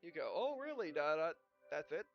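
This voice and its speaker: A woman doing let's play commentary, reading game dialogue aloud in a halting way and often correcting herself. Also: low-pitched, big pitch swings. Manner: halting, stumbling read-aloud with frequent self-corrections. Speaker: gaming video host. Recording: dull, muffled lo-fi microphone. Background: game music.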